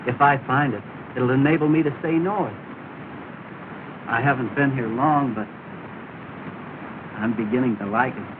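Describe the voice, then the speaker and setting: A man's voice: medium pitch, normal speed, long pauses, lightly acted. An actor playing an earnest young man, speaking politely and formally in a 1930s film. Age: young adult. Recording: tinny hissy 1930 film soundtrack.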